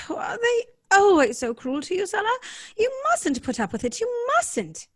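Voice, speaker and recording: A woman's voice: extremely high pitch, big pitch swings, a little slow, dry recording, clean studio sound, exaggerated voice. An actress playing an earnest, tender-hearted and naive young lady in an old-time radio drama, questioning and emotive in her delivery. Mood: tender, pleading. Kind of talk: live recreation of 1930s radio drama.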